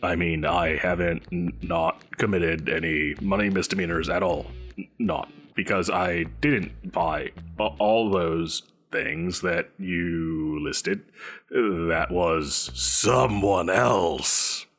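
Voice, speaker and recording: Man playing a deep, pompous, flustered supervillain boss. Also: slow pace, a bit bright, soft background music, exaggerated voice. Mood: nervous, evasive. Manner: theatrical, haughty, stammering when defensive. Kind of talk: scripted audio roleplay acting.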